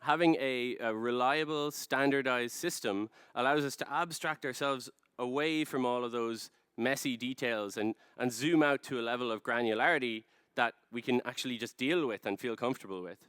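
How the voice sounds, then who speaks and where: A man with an Irish accent presents on stage in a practised conference style. His voice is clean and resonant at a middling pitch, picked up dry with little room sound. He explains step by step like a storyteller, leaning on key words. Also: normal speed, a bit bright, smooth, no echo. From Ireland. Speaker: conference speaker, product design director. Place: large dark stage, indoors.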